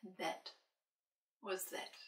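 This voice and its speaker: A woman reading a picture book aloud to children as an expressive storyteller. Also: slow pace. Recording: roomy, distant microphone.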